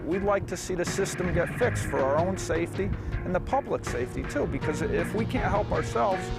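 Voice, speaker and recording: A man's voice: high voice, lively intonation, fairly quick, vocal fry, over music, rough recording. An American man, a police officer, answering in a news interview.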